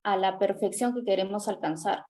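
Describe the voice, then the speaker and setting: A woman speaking as a panel guest in a casual discussion, reflective and measured. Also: mid-range voice, warm tone.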